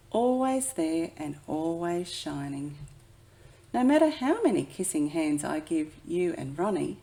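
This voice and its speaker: Woman as a gentle, slow-talking mother raccoon. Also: on the low side, creaky, exaggerated voice. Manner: gentle, soothing. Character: tender motherly adult female raccoon, patient and reassuring.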